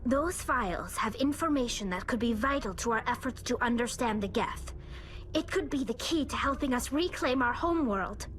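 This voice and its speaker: A woman's voice: on the high side, animated, moderate pace, fairly bright tone, slight background noise. A woman voicing an earnest, loyal young alien woman engineer who speaks formally and from the heart. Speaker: crew member.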